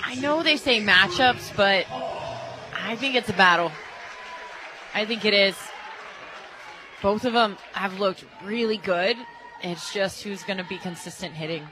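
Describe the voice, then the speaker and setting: A woman giving casual, informal color commentary on a softball radio broadcast. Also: mid-range voice. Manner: informal, quick to laugh. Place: radio booth at an outdoor ballpark. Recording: noisy crowd and loud music behind. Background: crowd, loud music.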